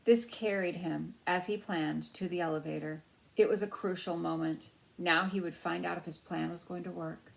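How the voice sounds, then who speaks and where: A woman's voice: lowish voice, steady tone, normal speed, vocal fry, low-quality recording. A woman storyteller reading a children's novel aloud.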